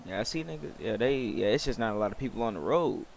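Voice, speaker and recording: A man's voice: on the low side, lively intonation, fast talker, vocal fry. A man giving casual, slangy, profane running commentary as he plays a roleplay video game, muttering to himself and viewers. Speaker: gaming video creator playing a roleplay game. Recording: loud vehicle and outdoor game noise behind. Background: loud game car and outdoor ambience.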